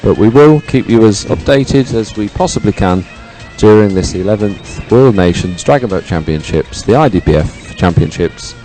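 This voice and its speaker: A man's voice: on the low side, lively intonation, moderate pace, hardly any pauses, warm tone, faint music behind. A man co-hosting live sports coverage as a commentator, chatting casually. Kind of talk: casual live broadcast chat.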